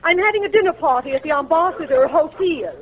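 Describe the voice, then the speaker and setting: High-voiced, airy movie starlet. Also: female voice, expressive, quick pace. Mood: gushing, polite. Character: polite, airy female movie actress. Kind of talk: 1940s radio comedy acting. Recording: very noisy, crackly 1940s radio.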